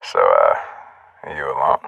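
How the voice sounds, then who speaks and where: Club flirt in a game, super low and gravelly, jokey. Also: male voice, very animated, normal speed, no room sound, narrow, tinny audio, full character voice. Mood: flirty. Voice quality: very deep, creaky. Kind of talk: video game voice acting.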